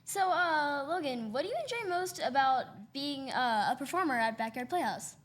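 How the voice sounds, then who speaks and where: A woman's voice: high-pitched, animated, normal speed. A woman co-hosting an interview show, putting prepared questions to guests in a polite, appreciative way.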